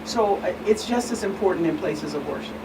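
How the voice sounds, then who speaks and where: A woman speaking as an expert guest on a panel, deliberate and emphatic, repeating phrases for stress. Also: medium pitch, expressive. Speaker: guest expert, attorney and pastor. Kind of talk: panel discussion, earnest explanation. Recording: distant mic, clearly noisy room hum.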